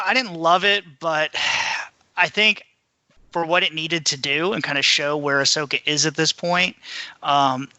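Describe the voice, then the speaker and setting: Male podcast panelist, casual news chat. Very bright, sharp tone, slightly high pitch, dry close sound. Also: no room sound. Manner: informal, conversational. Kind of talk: casual news rundown and discussion.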